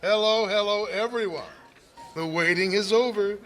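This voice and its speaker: An actor playing a vain, theatrical muckraking author in a radio mystery, with a pompous, showy manner. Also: male voice, sits high, moderate pace, a bit bright, soft background music. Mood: grandly welcoming. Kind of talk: old-time radio mystery acting.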